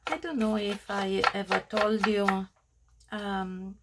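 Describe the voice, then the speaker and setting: A woman with a strong Romanian accent, Romanian her first language, walking viewers through a craft project in halting speech with many pauses and fillers. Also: mid-range voice, flat delivery, heavy background noise. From Australia. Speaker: craft tutorial host. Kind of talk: crafting tutorial narration.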